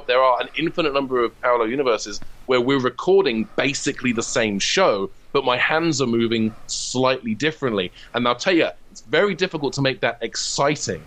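A man's voice: medium pitch, fairly bright tone, rough recording. Man chatting as an interview guest. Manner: enthusiastic, discursive, geeky. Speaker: interview guest, comedy writer. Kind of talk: casual interview chat.

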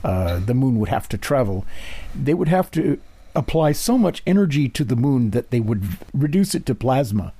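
Animated, mellow-voiced man hosting. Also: mid-range voice, normal speed, breathy edge. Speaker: interview host. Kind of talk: casual interview chat.

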